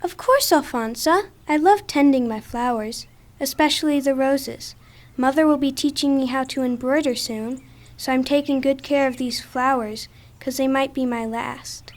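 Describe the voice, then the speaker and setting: A woman's voice: high-pitched, moderate pace, lightly acted. A woman playing a pious, earnest twelve-year-old saintly girl in a religious audio drama. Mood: gentle, sweet.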